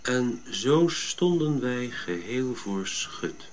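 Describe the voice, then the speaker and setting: An actor playing a gentle, measured member of a Dutch expedition in a radio drama. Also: male voice, medium pitch, sing-song, slight background noise. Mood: measured, recounting. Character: Willem, gentle Dutch expedition member recounting his journey. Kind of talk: radio drama narration and dialogue.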